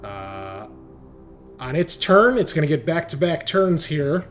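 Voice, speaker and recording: A man's voice: on the high side, sing-song, slow pace, faint music behind. A man running a tabletop roleplaying game as game master, talking casually and jokily.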